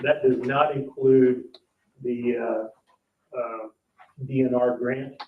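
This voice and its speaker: A man with a medium-pitched voice presents finances at a public meeting. He goes haltingly and plainly, pausing to check that people follow. He sounds distant from the microphone, in a very echoey room. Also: phone-quality sound, slight background noise. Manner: halting, plain-spoken, checks understanding with "okay?". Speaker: parks board official presenting the budget.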